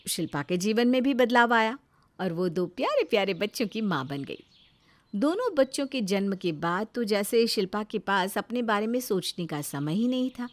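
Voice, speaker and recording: A woman's voice: medium pitch, a bit bright. A woman narrating a scripted moral story in a dramatic storytelling style.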